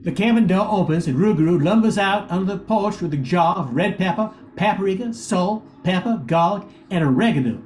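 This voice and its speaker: A man's voice: sits high, animated, a little fast, few pauses, a little mellow. A man giving scripted narration as the narrator of a radio play. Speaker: professor and narrator.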